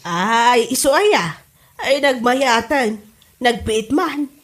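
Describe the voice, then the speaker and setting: A woman's voice: a little high, animated, slow. A woman with an Ilocano accent playing a curious, admiring village mother in a comedy audio drama.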